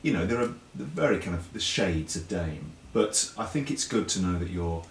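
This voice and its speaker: A deep-voiced British man chats as an interview guest, explaining things casually with repeated words and self-corrections. The recording is echoey and a little distant from the microphone, with faint noise behind him. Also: lively intonation, moderate pace, creaky. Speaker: interview guest, pantomime writer and performer. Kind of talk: casual chat show interview.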